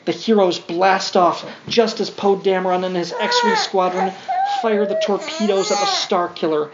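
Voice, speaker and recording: A man's voice: high-pitched, very animated, moderate pace. A man reading a children's storybook aloud to his young son, with playful, wry side comments. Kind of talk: read-along children's storybook narration with casual asides. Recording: dull lo-fi sound, faint background noise.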